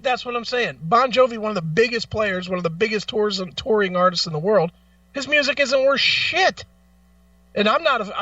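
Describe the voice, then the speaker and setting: High-pitched, animated, blunt man ranting. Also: no echo, far from the mic, highs cut off. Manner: blunt, profane, emphatic; argues with rhetorical questions. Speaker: talk show host. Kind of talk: opinionated casual talk show rant.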